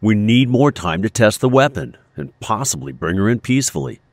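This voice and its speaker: An earnest, cautious male inventor from an audio drama played by an actor. His low voice moves expressively as he explains technical matters or objects with emphasis. The recording is dry and close. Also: very animated, fairly quick, no echo.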